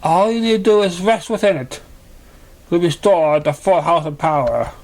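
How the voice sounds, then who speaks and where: A man's voice: high-pitched, moderate pace, darkish tone, rough recording. A man voicing a grand, congratulatory magical contest master in a storybook reading, proclaiming with theatrical praise. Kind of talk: storybook character voice in fan fiction reading.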